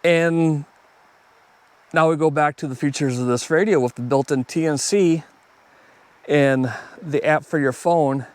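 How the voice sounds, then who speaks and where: A man's voice: a little high, unhurried, pauses often, darkish tone, dry recording. A man talking casually and off the cuff as a hobbyist video host reviewing gear, plainspoken and conversational. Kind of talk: casual unscripted product review.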